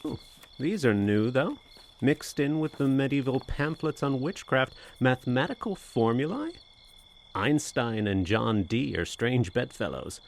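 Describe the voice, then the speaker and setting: An actor playing a skeptical, formal gentleman in a suspense radio drama, speaking in measured, literary phrases. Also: medium pitch, sing-song. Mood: curious, dry. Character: skeptical, formal gentleman summoned as friend and narrator. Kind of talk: old-time radio suspense drama acting.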